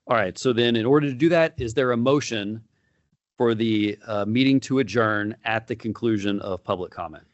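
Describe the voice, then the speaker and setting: Low-voiced man chairing a meeting. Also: no room sound, dull audio. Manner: informal, halting, with many fillers.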